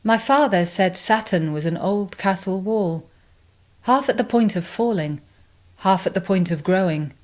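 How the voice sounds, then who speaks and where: A woman reciting poetry in a measured way, phrase by phrase. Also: medium pitch, a little mellow. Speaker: poetry reader.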